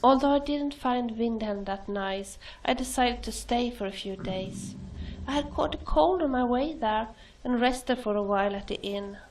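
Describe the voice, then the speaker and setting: A woman's voice: a little high, normal speed, far from the mic, a little hiss or hum, close to an everyday voice, low-quality recording. A woman playing an affectionate, opinionated companion in a fantasy video game. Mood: chatty. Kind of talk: video game character dialogue.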